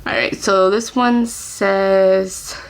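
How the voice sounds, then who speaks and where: A woman chatting casually to camera as a book vlogger at home, with soft laughs and whispered asides. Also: mid-range voice, fairly even intonation, very slow, clean tone, low-quality recording. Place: at home, family in another room.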